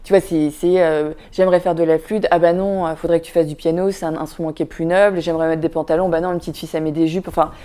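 A woman with a low, mellow voice talks at length in a casual interview. She is thoughtful and candid, pausing often and restarting her sentences as she gathers her thoughts. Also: steady tone. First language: Vietnamese. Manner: thoughtful, many fillers and restarts, addresses listener with "tu vois". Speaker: podcast guest, filmmaker. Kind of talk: casual reflective interview talk.

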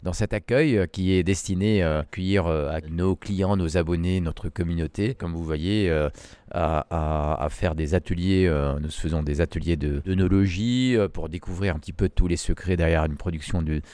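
A man's voice: deep voice, moderate pace, mellow, clean tone, dry recording. A middle-aged man from Lebanon, a winemaker giving a tour of his winery, talking with frequent hesitations. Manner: hesitant, many fillers. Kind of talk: guided tour interview.

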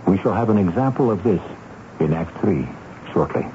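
A man hosting a radio mystery series with theatrical, deliberate scripted narration and dramatic pauses. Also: low voice, expressive, slight background noise. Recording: dull 1970s radio broadcast. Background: music.

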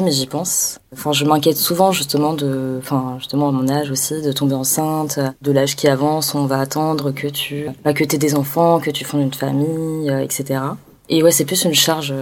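A woman in her twenties giving a frank, casual personal testimony as an interviewee, slightly wry. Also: low-pitched. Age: 20s.

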